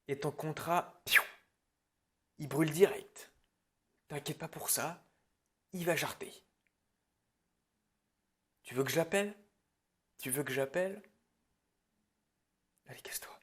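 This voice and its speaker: A man's voice: on the high side, slow, long pauses, slightly rough, voice pushed up. A man playing an irritable, bossy film director in an improvised parody roleplay, alternating hesitant muttering with curt, sarcastic orders. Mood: angry, threatening. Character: irritable, bossy male film director running a prep meeting.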